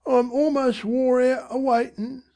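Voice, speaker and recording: A man's voice: extremely high pitch, little pitch movement, unhurried, gravelly, mellow, pitched above the performer's own voice, exaggerated voice. A man voicing an anxious working-class London mother, whimpering and pleading.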